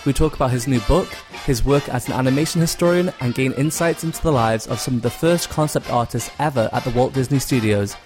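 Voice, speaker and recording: A man hosting a podcast, giving a prepared, smooth intro and asking interview questions. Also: medium pitch, fairly quick, hardly any pauses, darkish tone, soft background music. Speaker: podcast host.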